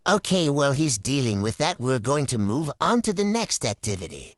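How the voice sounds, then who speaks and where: A woman with a low voice hosts a playful puppet show. Her delivery is theatrical and hugely varied in pitch, taken slowly, and the recording is dry. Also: big pitch swings, no echo. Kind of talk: playful improvised show hosting.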